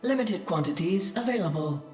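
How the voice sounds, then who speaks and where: Echoing actress as formal computer adjutant. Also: female voice, fairly low pitch, animated, moderate pace, a little mellow, lightly acted. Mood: formal, dutiful. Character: composed female military computer adjutant, dutiful announcer. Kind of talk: game map announcer. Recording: echoey, with faint music behind.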